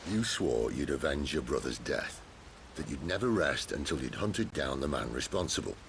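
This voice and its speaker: An actor playing a gruff, wry, middle-aged British outlaw leader in a video game cutscene, blunt and sardonic with a gravelly voice. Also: male voice, rumbling low, expressive, moderate pace, a little hiss or hum. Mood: blunt, urging.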